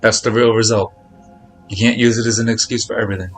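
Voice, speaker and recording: A man's voice: on the low side, fairly even intonation, fast talker, soft background music. A man chatting casually on a live game stream, speaking in a blunt, admonishing, repetitive way. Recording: noticeable background noise.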